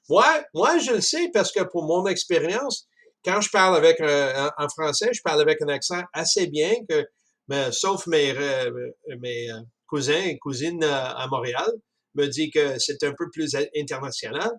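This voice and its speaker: A man with a Canadian French accent talking casually about a book, halting often with restarts and chuckles. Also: on the high side, a bit bright. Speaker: speaker discussing a book. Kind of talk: casual spoken commentary.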